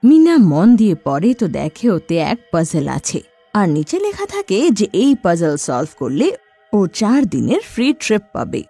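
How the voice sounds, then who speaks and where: A woman narrating a horror story in a lively storytelling manner. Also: mid-range voice, a little slow, breathy edge, no room sound, soft background music.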